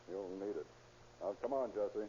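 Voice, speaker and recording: A man's voice: lowish voice, normal speed, mellow, lightly acted. A man playing a stern, resigned police officer in a 1930s radio crime drama, curt and weary. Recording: muffled, hissy 1930s radio recording.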